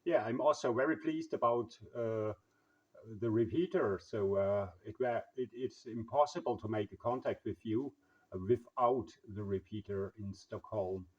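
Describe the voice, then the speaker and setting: A man with a husky voice, chatting casually over radio in halting, English. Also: lowish voice. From Germany. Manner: halting, non-native English phrasing. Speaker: amateur radio operator and video maker. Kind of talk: casual radio contact chat. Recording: slightly dull, lo-fi sound.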